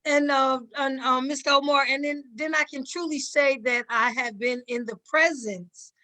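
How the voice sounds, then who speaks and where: A woman answering as an interview guest, conversational, with halting, meandering phrasing. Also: high-pitched, fairly even intonation, sharp tone. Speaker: stage actor, interview guest.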